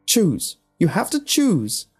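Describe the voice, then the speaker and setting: A man's voice: on the high side, very animated, a little slow, soft background music, pitched above the performer's own voice. A man voicing a jealous, demanding mistress in an audio story, hammering out each word with emphasis. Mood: screeching, frantic. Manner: forceful, emphatic syllables. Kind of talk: dramatic audio story dialogue.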